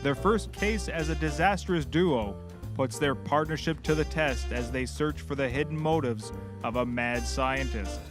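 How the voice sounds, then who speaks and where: A man reads a scripted show introduction as the announcer. His pitch is medium, and music plays clearly behind him. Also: unhurried.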